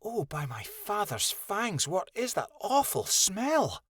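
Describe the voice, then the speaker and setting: Casting: man as small timid wolf pup for fantasy audiobook, high, whimpery, animated exclamations. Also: slow pace, breathy edge, no room sound, clean studio sound, far from the mic, full character voice. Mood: disgusted.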